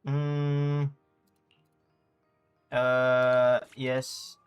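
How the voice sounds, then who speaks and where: A man's voice: medium pitch, level tone, extremely slow, smooth, soft background music. A man livestreaming a game with casual, joking commentary full of slang, sometimes reading dialogue out. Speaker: streamer.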